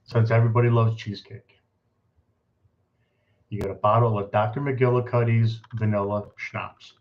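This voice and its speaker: A man's voice: fairly low pitch, little pitch movement, normal speed. A man co-hosting a live stream, chatting casually and joking. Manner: joking, easygoing. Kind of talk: casual chat. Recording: dull lo-fi stream audio.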